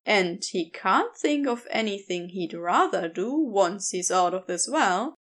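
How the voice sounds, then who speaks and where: Slow, expressive woman reading a story. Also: mid-range voice. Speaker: podfic narrator. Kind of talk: scripted story narration.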